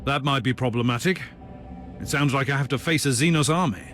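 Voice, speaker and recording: A man playing a stern, guarded psyker Inquisitor in a video game, speaking formally and gravely in terse phrases. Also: mid-range voice, fairly quick, close to an everyday voice. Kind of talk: dramatic video game dialogue. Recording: music behind the voice.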